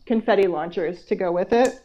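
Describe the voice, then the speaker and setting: A woman's voice: mid-range voice, fairly quick. Female children's author, US, conversational guest, enthusiastic explainer, muffled call-quality recording. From United States. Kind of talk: conversational interview chat about books. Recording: dull, lo-fi video call audio.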